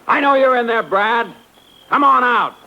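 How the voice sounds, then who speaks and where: An actor playing a firm, steady Texas Ranger on a manhunt in a 1950s radio drama, terse and commanding. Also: male voice, very high-pitched, moderate pace, gravelly, a little hiss or hum. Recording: muffled old 1950s radio recording.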